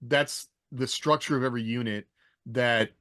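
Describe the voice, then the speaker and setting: A man's voice: medium pitch, steady tone, normal speed, a bit bright, no echo. A man with a mid-range voice, recorded dry. He explains things casually and takes a roundabout path through each thought, as in a panel discussion. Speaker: podcast panelist, former army officer.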